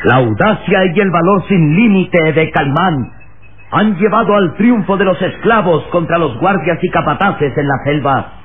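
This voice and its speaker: Dramatic high-voiced radio serial narrator man. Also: moderate pace, a little hiss or hum, natural voice. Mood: dramatic narrating. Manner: dramatic, declamatory. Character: solemn dramatic male narrator of an adventure serial. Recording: tinny old radio recording with music.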